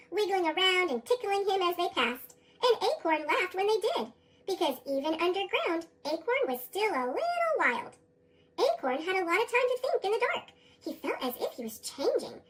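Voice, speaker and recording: An American narrator reading children's stories expressively, through a sped-up chipmunk voice effect. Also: very high-pitched. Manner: animated, expressive. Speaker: storybook narrator. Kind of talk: scripted children's read-aloud narration.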